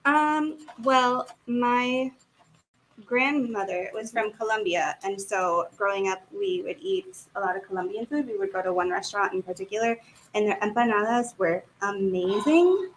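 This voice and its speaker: Woman from Miami, mid-pitch, friendly co-host, faint kitchen noise. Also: normal speed, rough recording. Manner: encouraging, lots of short agreements. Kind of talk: casual chat.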